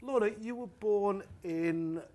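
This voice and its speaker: A middle-aged man presents a promotional interview show. His voice sits a little high for a man. He talks in a jovial, bantering manner. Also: slow, dark tone. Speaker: shopping show host.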